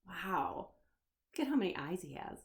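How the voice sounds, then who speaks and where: A woman's voice: on the low side, big pitch swings, normal speed, creaky. A woman children's storyteller reading a picture book aloud, expressively, explaining and putting questions to the listener.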